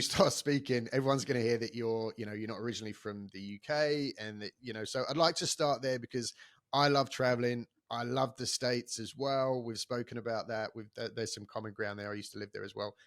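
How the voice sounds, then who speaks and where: A man's voice: medium pitch, brisk, dry recording, clean studio sound. A man hosting a podcast, chatty and enthusiastic, talking casually with many restarts. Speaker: podcast host.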